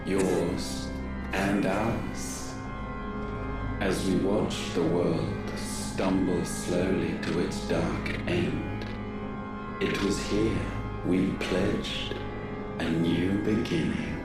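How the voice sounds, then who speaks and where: A deep godlike villain, super solemn and stately, fantasy game cutscene, loud music behind him. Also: male voice, drawn-out words, full character voice. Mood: solemn, grandiose. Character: ancient godlike male villain, grandiose and cold, proclaiming a new age. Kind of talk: dark fantasy video game cutscene acting. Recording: heavily echoing voice under loud music.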